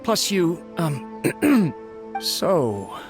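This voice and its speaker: Humble, nervous servant, stammering. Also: male voice, on the high side, big pitch swings, extremely slow, over music, heavily acted. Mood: apologetic, nervous. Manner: hesitant, apologetic, stammering. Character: humble, anxious, deferential clan servant. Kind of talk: video game voice acting.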